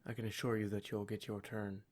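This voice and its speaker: A man's voice: on the low side, little pitch movement, a little fast, heavy background noise, close to an everyday voice. A man playing a cruel, smug demon in a scripted ASMR roleplay, speaking in a formal, mock-polite and menacing way. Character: cruel, smug male demon, taunting captor of adventurers.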